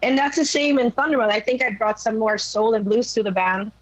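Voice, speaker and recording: A young woman from Sweden with a naturally raspy voice, a rock singer chatting casually in an interview, rambling with many fillers. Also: on the high side, fast talker, no echo, lo-fi sound. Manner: rambling, lots of "like" and "you know". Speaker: rock vocalist guest.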